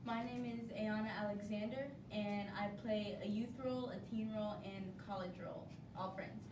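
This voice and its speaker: A woman's voice: medium pitch, fairly even intonation, normal speed. A woman who acts in a stage play, answering casually in an interview. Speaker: stage play cast member. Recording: echoey room, distant mic, background noise.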